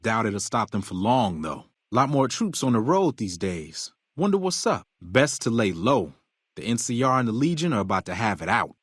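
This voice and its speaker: An American actor playing a bitter, hot-tempered convict gang leader in a video game, gruff, profane and commanding. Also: male voice, fairly low pitch, sing-song, normal speed, a bit bright, no room sound, studio quality, exaggerated voice. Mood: wary. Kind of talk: video game character dialogue.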